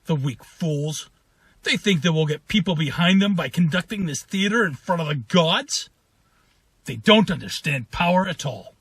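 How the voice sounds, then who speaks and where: A man's voice: fairly high pitch, lively intonation, normal speed, a bit bright, breathy edge, full character voice. A man playing an arrogant, wrathful prince in an audio drama, pompous and scornful in his theatrical delivery. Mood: angry, contemptuous. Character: arrogant, wrathful, imperious prince dictating letters.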